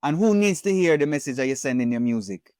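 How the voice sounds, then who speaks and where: A man hosting a podcast interview, with a smooth, resonant voice and a dry sound. He calmly asks his guest open questions. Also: fairly high pitch, quick pace, no echo. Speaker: podcast host.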